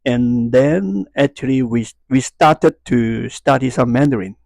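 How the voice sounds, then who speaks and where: A man in his fifties from Hong Kong, a native Cantonese speaker with a Hong Kong Cantonese accent, talks casually to viewers, haltingly searching for words. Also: mid-range voice, creaky, a little mellow, no room sound, studio quality. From Hong Kong and Macau. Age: 50s. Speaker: YouTuber. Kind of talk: casual talk to viewers, unscripted.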